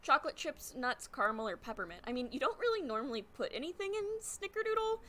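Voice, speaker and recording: A woman's voice: sits high, big pitch swings, quick pace, crowd noise. A young adult woman co-hosting a podcast in playful, giggly, sing-song casual chat over a remote call. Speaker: podcast co-host.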